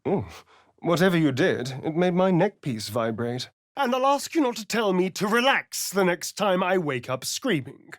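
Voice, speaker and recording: An actor playing a sardonic, preening vampire elf rogue in a video game, with an arch, theatrical, drawling delivery. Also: male voice, high voice, big pitch swings, normal speed, dry recording, studio quality. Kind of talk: video game character dialogue.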